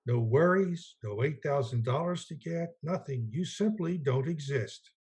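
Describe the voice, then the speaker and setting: A man playing a gentle, eager, naive guardian angel in a radio play, earnest and quaintly polite, with a husky voice. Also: a little high, expressive, measured pace, exaggerated voice. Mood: earnest, coaxing. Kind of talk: 1940s-style radio play acting.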